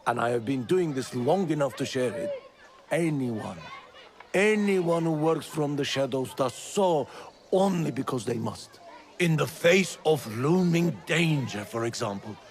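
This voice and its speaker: A man playing a weary, cautious older mentor of thieves in a video game, speaking in a measured, admonishing way. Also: medium pitch, slight background noise. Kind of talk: dramatic video game acting.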